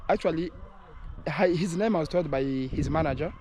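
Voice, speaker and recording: A man hosting an interview, speaking haltingly and restarting phrases as he searches for words. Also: on the high side, darkish tone. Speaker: host. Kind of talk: casual interview. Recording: noisy outdoor recording.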